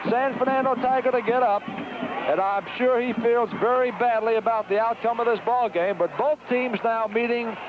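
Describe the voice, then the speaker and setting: A man's voice: very high-pitched, steady tone, normal speed. An American man calling a live football game play by play on the radio, in a muffled, noisy 1970s broadcast recorded at an outdoor stadium. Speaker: play-by-play sports announcer.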